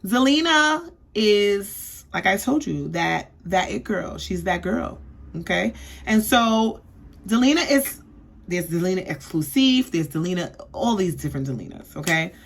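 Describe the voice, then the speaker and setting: A woman's voice: mid-range voice, expressive, unhurried, vocal fry. A young woman from the East Coast giving a chatty, sassy perfume review, often checking in with "okay?". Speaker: beauty vlogger.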